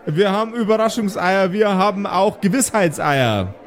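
Man doing a high-voiced eager young receptionist. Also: animated, normal speed. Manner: gushing, repetitive salesman patter. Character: eager well-dressed young male egg restaurant receptionist.

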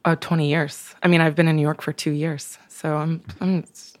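A woman's voice: low-pitched, little pitch movement, normal speed, no room sound. A young woman from Oregon chatting as a podcast guest, laughing easily and full of ums and restarts. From Oregon, USA. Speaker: podcast guest, wine brand manager. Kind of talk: casual interview chat.

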